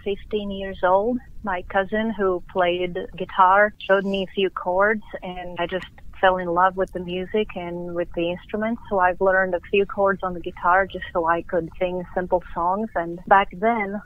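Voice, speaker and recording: A middle-aged woman from Slovakia, a Slovak speaker, telling her story in a measured, thoughtful way as a guest in a phone interview. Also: fairly low pitch, little pitch movement. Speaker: guest musician. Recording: tinny phone line.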